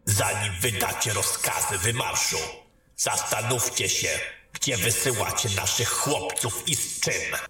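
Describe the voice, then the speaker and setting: A low male voice acted by a man of a blunt fantasy court mage, speaking slowly and forcefully. He is insistent and sardonic, heard in a heavy, distant echo. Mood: urgent advising. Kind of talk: fantasy audio drama acting. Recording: very echoey, distant, faint music.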